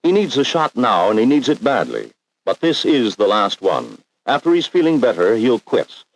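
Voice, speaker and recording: A man's voice: mid-range voice, wildly swooping pitch, moderate pace, poor audio. An American man narrating a 1960s educational film in a grave, ominous, emphatic scripted style, on a vintage film soundtrack. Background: dramatic background music.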